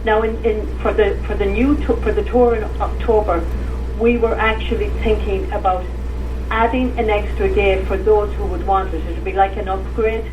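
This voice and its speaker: A woman's voice: mid-range voice, fairly even intonation, moderate pace, dull audio. Chatty Irish woman on a distant echoey line. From Ireland. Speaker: guest, tour organizer. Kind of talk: casual radio interview. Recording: echoey distant call with noise.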